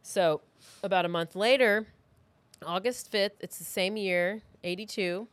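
A woman podcast host tells a story casually, as if chatting with a friend. Her voice sits at an average pitch, and she is informal and blunt, recorded close and dry. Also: unhurried, no echo. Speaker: true crime podcast host.

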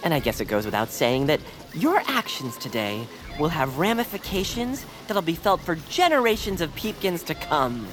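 Fussy, anxious young creature: lowish voice leaping all over, stammering and wordy, comic audio drama. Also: female voice, sing-song, normal speed, few pauses. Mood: earnest, guilty. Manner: stammering, wordy, nervous chuckles. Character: nervous, fussy young best-friend creature named Noah. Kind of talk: audio fiction cartoon acting.

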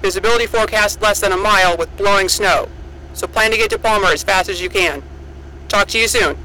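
High, bright-voiced station radio operator. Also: male voice, a little fast, slight background noise, low-quality recording. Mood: businesslike, collegial. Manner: procedural, clipped, collegial. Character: brisk, caring male radio operator at a polar station. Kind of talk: audio drama radio dispatch.

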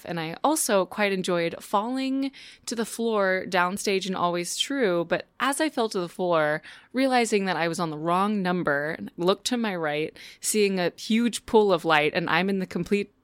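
A young adult woman, a musical theatre performer, reading her own personal story in an animated, self-deprecating way. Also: lowish voice, moderate pace, smooth, no echo, clean studio sound.

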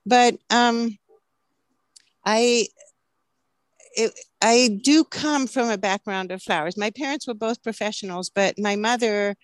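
A woman's voice: on the high side, slow, long pauses, no echo. Woman from Chile, fifties, chatty, laughs often, dry close recording. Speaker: flower farmer, guest. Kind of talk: casual interview.

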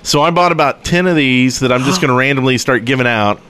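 A man's voice: medium pitch, quick pace, bright, forceful tone, clear, resonant tone. A man co-hosting a podcast in casual, joking chat, with a dry, wisecracking manner. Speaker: podcast co-host.

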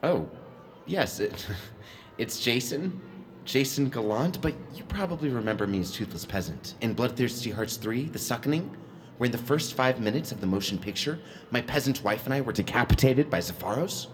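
An actor playing an awkward, needy bit-part actor at a fan convention, stammering and easily flustered. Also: male voice, medium pitch, expressive, moderate pace, slight background noise, natural voice. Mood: eager, nervous.

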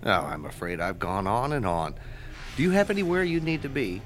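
A man playing a nervous, ingratiating school guidance counselor in his twenties in an audio drama, speaking haltingly. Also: lowish voice, very animated, faint music behind. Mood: nervous probing. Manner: hesitant, ingratiating, halting with ums.

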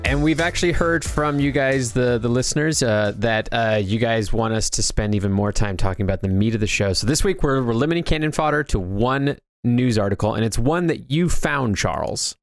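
A man's voice: mid-range voice, fairly quick, hardly any pauses, no room sound, faint music behind. An American man co-hosting a podcast in casual chat, with a hesitant, backtracking way of talking. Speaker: podcast co-host and producer.

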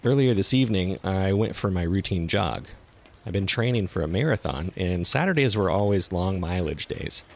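Low, gravelly man narrating. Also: animated, moderate pace. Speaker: podcast host and narrator. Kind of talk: scripted horror podcast narration.

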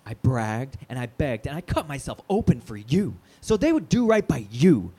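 An actor playing a placating, foul-mouthed radio station manager who talks in halting, cajoling corporate speak in an audio drama. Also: male voice, medium pitch, sing-song, warm tone, slightly rough. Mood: hurt, defensive. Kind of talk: naturalistic audio drama acting.

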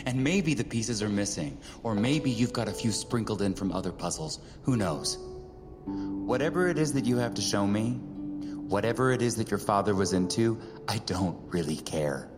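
An actor playing a blunt, wry antiquities appraiser in an audio drama, plain-spoken and defiant. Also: male voice, fairly low pitch, expressive, normal speed, in a large hall, over music, natural voice. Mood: shrewd.

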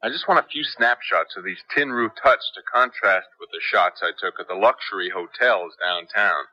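A man's voice: low voice, moderate pace, bright, forceful tone, exaggerated voice. An actor playing a determined, assertive young male graduate student in a 1970s radio drama. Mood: casual. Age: young adult. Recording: dull lo-fi 1970s radio broadcast.

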